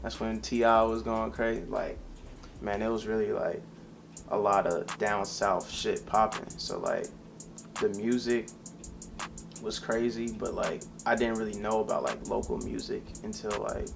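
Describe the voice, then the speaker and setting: Young man, creaky voice over music. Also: lowish voice, fairly even intonation, unhurried. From South Carolina, US. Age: early 20s. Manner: laid-back, slangy, swearing freely. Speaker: interview guest, young entrepreneur. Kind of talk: casual interview chat.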